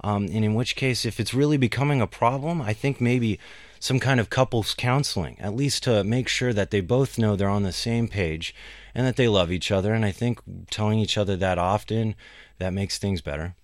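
Low-voiced man co-hosting casually. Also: moderate pace, no room sound, clean studio sound. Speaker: podcast co-host. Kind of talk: casual discussion.

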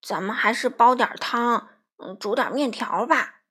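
A woman voicing a stubborn, grumbling animal friend in a children's story, huffy and quick to retort. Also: on the high side, bright, forceful tone, husky.

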